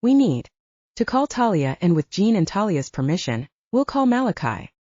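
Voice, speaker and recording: An easygoing young male werewolf from an audiobook romance, played by a woman narrator. He is playful and reassuring, with a soft mellow tone and very mobile pitch, recorded dry and slightly dull. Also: very animated, normal speed, breathy edge, dry recording. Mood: earnest.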